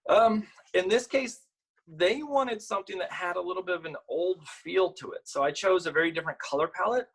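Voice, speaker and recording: A man with a slightly husky voice, an author and illustrator sharing picture books at a virtual storytime in an informal way. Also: sits high. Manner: informal, halting when chatting. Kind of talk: virtual storytime, reading aloud and chatting about the books.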